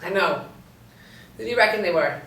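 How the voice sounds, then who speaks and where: A woman's voice: medium pitch, animated, fairly quick, fairly bright tone. An actress reading the part of a wry, seasoned policewoman and tracker in a screenplay table read, dry and teasing. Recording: roomy, echoey, distant mic.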